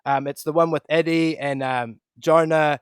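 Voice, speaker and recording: A man's voice: fairly high pitch, steady tone, normal speed, clear, resonant tone, dry recording, clean studio sound. A man from Los Angeles with an American accent, hosting a casual podcast interview over a video call in a laid-back way. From Los Angeles, USA. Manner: laid-back, says "bro" constantly. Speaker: podcast host.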